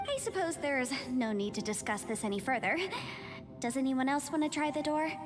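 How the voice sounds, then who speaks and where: A woman's voice: sits high, expressive, brisk, music in the background. A woman playing an earnest, polite young legal advisor in a video game, thoughtful and articulate.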